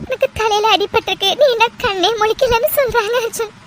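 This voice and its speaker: A woman's voice: very high-pitched, fairly quick. A woman playing a distraught, devoted young woman in an audio drama, crying and pleading over her injured beloved. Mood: tearful pleading. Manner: tearful, pleading, repetitive begging. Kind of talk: melodramatic Tamil audio drama acting. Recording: noisy recording with clicks.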